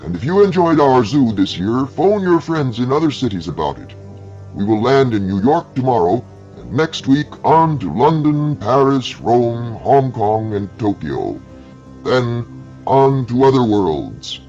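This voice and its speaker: A proud, theatrical professor of an alien zoo, acted out by a male storyteller, booms out like a fairground barker. The voice is pushed high and swoops widely over a music bed. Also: sing-song, a little slow, mellow, muffled recording. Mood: grand farewell. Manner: booming, theatrical barker's proclaiming. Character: showman professor, proud alien-zoo ringmaster in rainbow cape. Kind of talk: dramatised storytelling.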